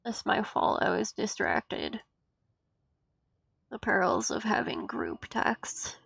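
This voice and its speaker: A woman's voice: mid-range voice, fairly even intonation, takes it slowly, gravelly, a bit bright, husky, dry recording, highs cut off. A woman chatting casually during a gameplay stream. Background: game music.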